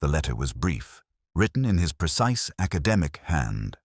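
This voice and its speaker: A man reading a scripted first-person detective story as narrator, in a measured, solemn, literary manner. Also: rumbling low, big pitch swings, breathy edge, no echo, clean studio sound.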